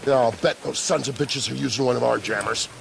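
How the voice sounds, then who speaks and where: An actor playing a gruff, wisecracking veteran military helicopter pilot in a video game, blunt and profane. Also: male voice, mid-range voice, fairly quick, heavy background noise. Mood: tense, gritty. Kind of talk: video game cutscene acting. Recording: loud music over the dialogue.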